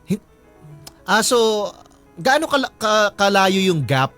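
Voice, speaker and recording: High, animated Filipino male radio co-host. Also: slow. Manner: playful, reactive. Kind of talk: casual radio banter. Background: background music.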